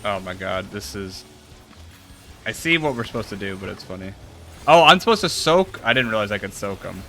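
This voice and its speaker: A man with a low, bright, forward voice talks casually through a group game. Faint music plays behind him. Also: animated, a little fast. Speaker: gamer in a group raid. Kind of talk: casual chat during online gameplay. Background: faint video game music.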